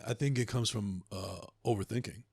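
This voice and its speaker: A man's voice: fairly low pitch, normal speed, creaky, darkish tone, husky, no room sound, clean studio sound. A man hosting a podcast interview, encouraging his guest with short affirmations. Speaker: podcast host. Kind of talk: casual interview.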